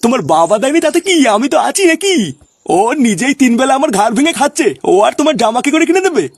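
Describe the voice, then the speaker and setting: A man voicing a crabby, vain old grandfather in a comedy cartoon, husky and animated, given to cursing grumbles. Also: very high voice, fast, no echo, exaggerated voice. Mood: doting, reassuring.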